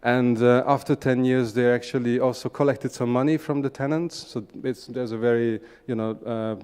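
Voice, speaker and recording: A man with a Hungarian accent presents at a conference in a large, reverberant hall. His voice is clear and smooth, sitting at an average male pitch. He talks in measured, slightly halting phrases, pausing as he builds his points. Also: steady tone, darkish tone. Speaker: conference speaker, urban regeneration practitioner. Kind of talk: conference presentation, semi-spontaneous.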